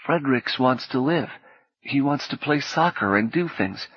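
A man's voice: mid-range voice, moderate pace, breathy edge, dry recording, natural voice. A man voicing a sick, exhausted teenage boy who talks wearily and with resignation. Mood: despairing. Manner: weary, flat, resigned. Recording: dull lo-fi cassette audio.

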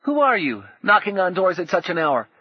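A man doing a high, scrappy young boy. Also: sing-song, moderate pace, slightly rough, dry recording, highs cut off, heavily acted. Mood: tense. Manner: high, cocky slang-tinged talk. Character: scrappy young boy, bold but frightened.

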